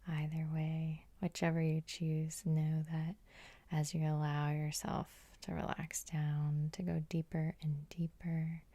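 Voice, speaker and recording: A woman hypnotherapist guiding sleep hypnosis in a soothing, unhurried, breathy ASMR whisper, close to the microphone. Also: deep voice, completely flat delivery, dark tone, no echo.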